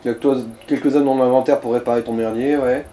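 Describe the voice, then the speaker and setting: A man's voice: medium pitch, steady tone, fast, dark tone. A man giving casual advice and comments beside a player, in crude slang. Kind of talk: casual gaming chat. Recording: faint background noise.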